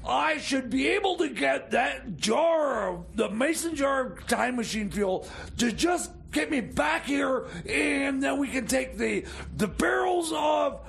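An actor playing an excitable, bumbling scientist in radio comedy, stammering and frantically repeating himself. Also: male voice, very high voice, takes it slowly, creaky, few pauses, husky, shouting, full character voice. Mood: excited. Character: excitable, bumbling self-styled mad scientist time traveller.

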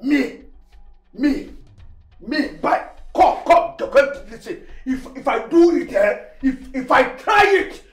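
An actress playing a defiant, stubborn household servant with a Nigerian accent, in repetitive, theatrical outbursts, recorded with roomy echo. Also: female voice, sits high, expressive, takes it slowly, soft background music. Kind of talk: Nigerian comedy-drama film acting.

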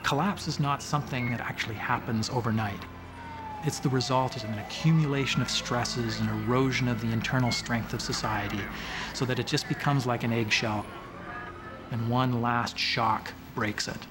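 An average-pitched man explains as an expert interviewee. Loud ambient noise, like outdoors, surrounds his voice. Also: steady tone, moderate pace.

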